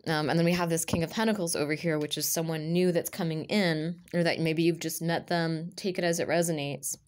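A woman with a raspy voice and a lingering cough, reading tarot cards to camera in a casual chat and half-whispering card names as she lays them out. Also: deep voice, fairly even intonation, fairly quick. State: recovering from a cough. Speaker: tarot reader. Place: indoors at a table with a purple cloth. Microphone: camera over her hands, speaker off-frame.

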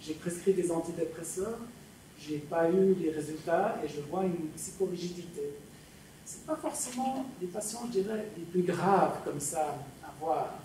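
A woman's voice: on the low side, warm tone. A woman, a clinician in the audience, asking a spontaneous question in a large lecture auditorium. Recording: very echoey hall, distant, noisy.

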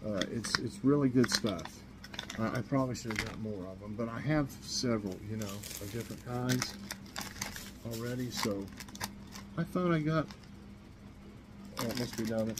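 A man's voice: mid-range voice, noisy background. Chatty middle-aged man rambling to camera. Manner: rambling, chatty, many ums and restarts. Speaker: vlogger showing a grocery haul. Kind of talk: casual chat to camera. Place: small kitchen indoors.

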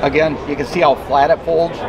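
A man's voice: a little high, fairly even intonation, fairly quick. A man giving a casual product demonstration as a company representative, explaining things in a plainspoken, agreeable way. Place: busy indoor trade show floor. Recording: busy trade show crowd noise.

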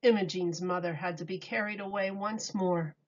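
A woman reading a picture book aloud to children like a teacher, deliberate and expressive. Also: on the low side. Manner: deliberate, expressive, explains words for young children. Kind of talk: read-aloud storybook narration. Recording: dull lo-fi recording.

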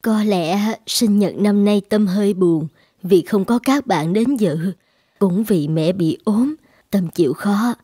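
A woman voicing a frail, recovering mother in a dubbed cartoon, speaking softly and tenderly. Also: mid-range voice, takes it slowly, slightly rough, no room sound, clean studio sound. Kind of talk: cartoon dubbing.

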